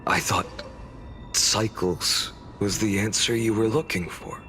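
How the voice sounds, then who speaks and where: A tired wry young hero, low gravelly voice, bright edge, soft music. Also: male voice, steady tone, takes it slowly. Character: weary young hero, man, bound to endless cycles. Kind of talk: video game voice acting. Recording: game audio with music behind.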